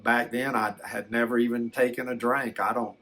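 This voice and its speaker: An older man telling a personal story in a casual, unscripted way, halting and repeating words as he reflects. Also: medium pitch, steady tone, bright, forceful tone, slight background noise. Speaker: storyteller recounting a personal experience.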